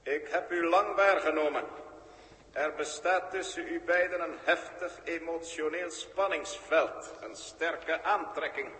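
An actor playing a cold, formal ship-brain that is part human and part machine, speaking in stiff, old-fashioned and measured sentences in a 1960s radio play. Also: male voice, a little high, fairly even intonation, pushed and bright, reverberant, in a large hall, rough recording. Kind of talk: 1960s radio drama acting.

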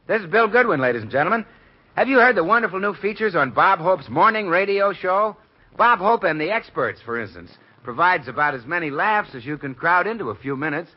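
A man's voice: high voice, big pitch swings, a little fast. A man reading a scripted 1950s radio promotion as an announcer. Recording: muffled vintage radio broadcast.

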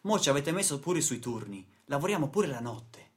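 A man's voice: on the high side, very animated, moderate pace. A man playing a desperate, broke trainee worker in a radio play, protesting to his boss in a confrontational, colloquial way. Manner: loud, pleading, confrontational, colloquial.

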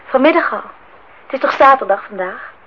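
An actress playing a hesitant woman who plays along as wife and mother in a Dutch radio play, tentative yet expressive. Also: high voice, brisk. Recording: dull old radio recording, faint noise.

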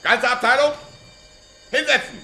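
An actor playing a courteous, jocular middle-aged former officer in a German radio play, gallant and mock-military. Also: male voice, very high voice, a little slow, vocal fry, over music, full character voice. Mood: excited, commanding.

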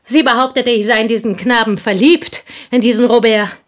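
An actress playing a bitter, confessing village schoolteacher in an intimate German radio play. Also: female voice, on the high side, fairly even intonation, brisk, lightly acted. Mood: indignant, hurt. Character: bitter, confessing French village schoolteacher, woman, jealous confidante.

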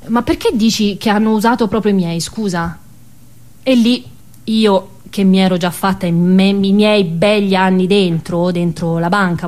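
Woman performing a theatrical monologue. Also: mid-range voice. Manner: expressive, colloquial storytelling with emphatic repetition. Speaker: stage actress performing her own monologue.

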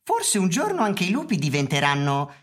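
Cynical robot sidekick for radio drama: scornful, husky, with wide swings in pitch. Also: female voice, huge pitch swings, moderate pace, heavily acted. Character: small cynical, distrustful robot companion.

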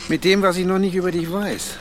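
Radio crime drama: insistent investigator played by a man, middle-aged, raised pitch, informal, probing delivery. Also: steady tone, a little hiss or hum, lightly acted. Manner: familiar, probing, halting.

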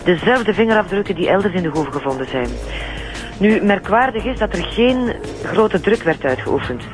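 A woman's voice: mid-range voice, animated, normal speed, over music, natural voice. An actress with a Flemish accent playing a friendly, matter-of-fact female forensic examiner in a radio drama. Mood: friendly, businesslike.

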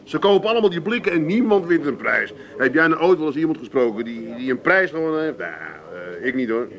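A cynical, penniless working bloke at the bar in a radio comedy. He grumbles in a slightly high, dismissive, colloquial voice. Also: sing-song, brisk, creaky, a bit bright, highs cut off, full character voice. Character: cynical, broke, hard-drinking working man and son. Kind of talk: 1980s comic radio drama acting.

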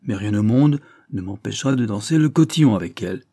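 A man voicing a careless, lovestruck young lord who speaks in a loud, flippant way. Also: on the low side, expressive, a little fast, dry recording. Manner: boisterous, flippant.

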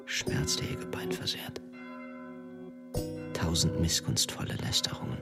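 Very deep, gravelly, half-whispering man. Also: measured pace. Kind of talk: solemn poetry recitation. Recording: loud music and bells behind.

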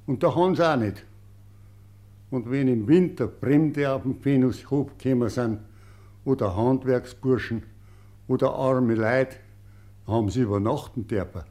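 Guy playing an earnest bavarian peasant witness, mellow dark tone, medium pitch, radio play. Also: natural voice. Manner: earnest, plain-spoken, deliberate. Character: plain-spoken Bavarian farmer giving earnest witness testimony. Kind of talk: German radio play monologue in dialect.

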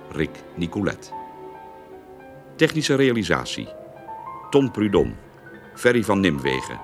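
Slow, low-voiced male radio announcer over music. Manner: measured, formal. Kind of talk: scripted radio credits reading.

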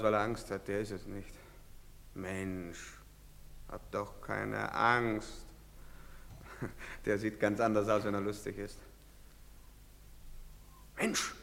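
An actor playing a bullying officer who barks short, menacing orders in a theatrical radio drama. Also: male voice, mid-range voice, creaky, a bit bright. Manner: barks short commands, sneering, intimidating. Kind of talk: theatrical stage-style radio drama acting. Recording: echoey hall, long reverb.

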